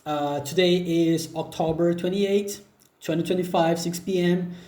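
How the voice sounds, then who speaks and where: Man, 20s, Brazilian Portuguese accent, mellow, hesitant fillers, echoey laptop recording. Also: high voice, fairly even intonation. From northeast Brazil. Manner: unhurried, hesitant with frequent fillers and restarts. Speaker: job seeker. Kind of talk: self-introduction video.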